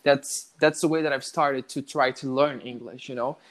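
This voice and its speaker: A young man from Brazil speaks English with a Brazilian Portuguese accent, slowing and restarting as he hunts for words. His voice sits at an ordinary middle pitch, and he pads his thoughts with "you know.". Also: fairly even intonation. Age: 20s. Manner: hesitant, many restarts and "you know" fillers. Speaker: guest, network engineer learning English. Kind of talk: casual interview.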